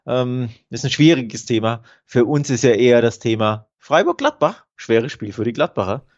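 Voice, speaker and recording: A man's voice: mid-range voice, lively intonation, normal speed, darkish tone, highs cut off. A man co-hosting a football betting podcast, speaking hesitantly and hedging his opinions. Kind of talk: casual football analysis chat.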